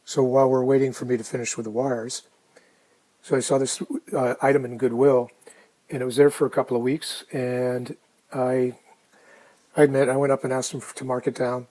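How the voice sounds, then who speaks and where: Male hobbyist narrator: relaxed, dark-toned, fairly monotone, unhurried and informal, talking through his own work. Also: medium pitch, low-quality recording. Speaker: hobbyist restorer narrating a project. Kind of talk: casual DIY narration.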